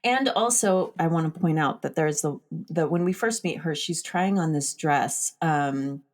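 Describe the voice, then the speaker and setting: A woman's voice: low-pitched, a little slow. A woman hosting a casual film discussion, talking in an informal and candid way. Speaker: podcast host.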